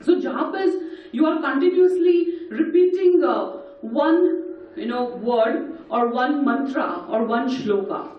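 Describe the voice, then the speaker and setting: A husky, high-pitched woman with an Indian English accent guides a group through breathing drills, counting each step aloud like a patient instructor. Her voice comes from some distance in a big, very echoey hall. The sound is dull and muffled. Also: expressive, takes it slowly. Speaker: voice and breathing workshop leader. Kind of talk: leading a group workshop with breathing and sound exercises.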